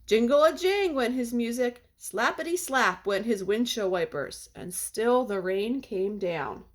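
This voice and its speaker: A woman with a slightly high voice reads a storybook to young children. Her delivery is deliberate and expressive, in the style of a teacher at story time, drawing out repeated phrases. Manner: deliberate, expressive, slows for refrains. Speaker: teacher reading a picture book aloud.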